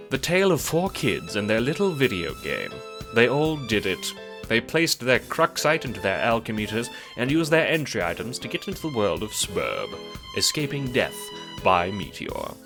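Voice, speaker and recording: A man narrating a scripted audio drama in a theatrical, wry, rhetorical storytelling style. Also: fairly low pitch. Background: music.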